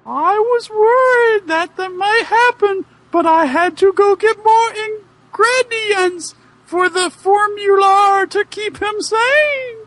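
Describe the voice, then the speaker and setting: A man voicing a whiny, remorseful old father in a shrill, melodramatic falsetto for a mock-dramatic fan fiction reading. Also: a bit bright, heavily acted, low-quality recording. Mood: fretful. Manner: slow, drawn-out, melodramatic.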